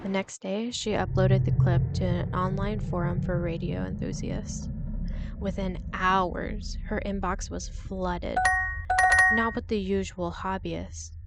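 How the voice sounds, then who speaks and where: A woman narrating a scripted suspense story in a measured, deliberate way, with short dramatic pauses. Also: medium pitch, steady tone, loud music behind the voice.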